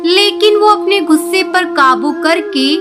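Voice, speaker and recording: A woman's voice: extremely high pitch, measured pace, music in the background. A woman narrator reading a scripted children's cartoon story.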